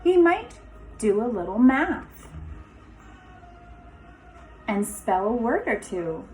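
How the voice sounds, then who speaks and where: A woman kindergarten teacher reading a picture book aloud to young children in an expressive, instructive way, pausing for asides. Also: a little high, faint music behind, poor audio.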